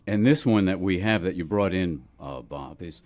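A man hosting community radio, chatting casually about music in an unhurried, reflective way. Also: deep voice, a little mellow, dry recording.

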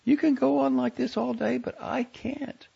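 A man's voice: very high voice, fairly even intonation, moderate pace, creaky, mellow, slightly rough, pitched above the performer's own voice, heavily acted. A man reading aloud and voicing a young woman on the phone who is exhausted and exasperated. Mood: weary. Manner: pleading, exasperated. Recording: dull lo-fi audio.

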